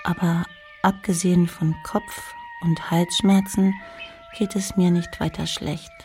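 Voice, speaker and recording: A woman playing a gentle, modest young lady in a German radio play, softly reading in a measured way. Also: on the low side, flat delivery, faint music behind. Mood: gentle, reassuring. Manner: soft, measured letter reading.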